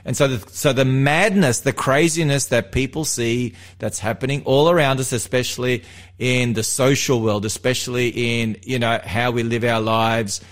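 A man's voice: mid-range voice, normal speed. Chatty Australian man on radio. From Melbourne, Australia. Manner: chatty, animated, jokey. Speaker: radio host and seminar presenter. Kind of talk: casual radio chat on faith.

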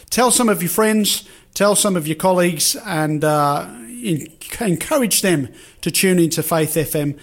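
Radio host, higher voice. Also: male voice. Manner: relaxed, rambling with fillers.

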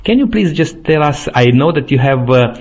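A man hosting an interview, asking halting questions full of fillers. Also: fairly high pitch, expressive, darkish tone. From Romania. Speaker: interviewer and program host.

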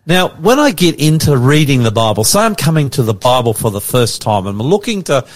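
A man's voice: mid-range voice, sing-song, a little fast. A man hosting an interview, asking simple, plain questions and stumbling a little at times. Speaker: interviewer, host.

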